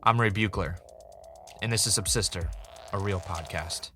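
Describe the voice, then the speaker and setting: A man acting as a wry, uneasy podcast host in an audio drama, with a casual, halting, conversational delivery. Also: low voice, bright, forceful tone, soft background music. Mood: uneasy, searching. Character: Ray, wry, uneasy male podcaster investigating his own death.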